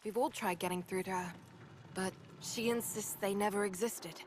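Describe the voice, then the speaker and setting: A woman's voice: mid-range voice, fairly even intonation, normal speed, close to an everyday voice. Concerned woman acted out over heavy noise. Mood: sad concern. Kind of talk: scripted video game dialogue. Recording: very noisy game ambience behind.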